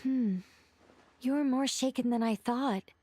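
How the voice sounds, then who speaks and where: A woman voicing a calm, knowing and mysterious game character with a polite, deliberate delivery. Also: high-pitched, slight background noise. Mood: musing. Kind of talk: video game voice acting, measured explanation.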